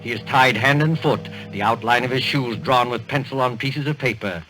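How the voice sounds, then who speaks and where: A measured narrator, played by a man sets the scene for an old radio suspense drama. His delivery is deliberate and scripted, with music playing clearly behind him. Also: medium pitch, lightly acted, low-quality recording.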